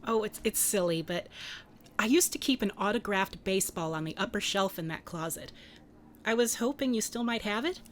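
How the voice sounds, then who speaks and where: A woman's voice: medium pitch, lively intonation, normal speed, a little hiss or hum, lightly acted. A woman playing a guarded, hesitant private investigator and former police officer in a contemporary radio drama. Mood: hesitant, awkward.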